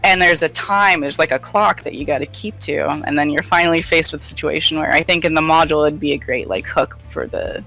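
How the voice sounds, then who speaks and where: A man running a tabletop game as game master, narrating scenes in a relaxed, descriptive way. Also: on the high side, fairly quick, gravelly, few pauses, soft background music, rough recording. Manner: relaxed, descriptive, filler-laden.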